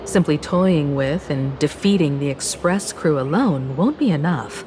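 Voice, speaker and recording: An actress playing a composed, caring and analytical young woman in a video game, speaking in a measured, earnest, articulate way. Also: low-pitched, expressive, background noise. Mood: serious, explanatory. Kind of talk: video game voice acting, expository dialogue. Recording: studio voice over game music.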